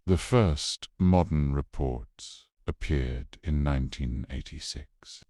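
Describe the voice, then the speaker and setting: A man narrating a scripted horror mystery in a solemn, measured manner, with short dramatic phrases. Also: very deep voice, darkish tone, no echo, clean studio sound.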